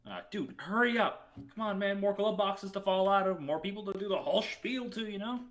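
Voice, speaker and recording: A man's voice: high-pitched, brisk, a bit bright. A man playing a brash, impatient wish-granting spirit with slangy salesman's patter in a comedic reading. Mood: impatient, pleading. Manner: slangy, exasperated dude-speak.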